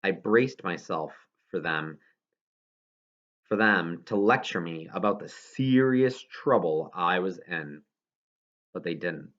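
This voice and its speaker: A man's voice: low voice, lively intonation, slow pace, a bit bright, highs cut off. A man reading a children's novel aloud as scripted narration, now and then stumbling over a word. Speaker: audiobook reader.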